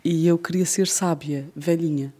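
A woman's voice: lowish voice, dark tone, slightly rough. A woman, a guest writer talking reflectively and playfully, often laughing, on a stage before an audience. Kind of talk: reflective conversation.

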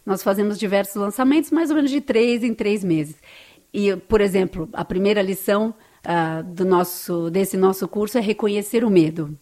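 A woman's voice: medium pitch, moderate pace, mellow. Enthusiastic Brazilian woman guest. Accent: Brazilian Portuguese. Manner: earnest, enthusiastic, tag questions. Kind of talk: interview answers.

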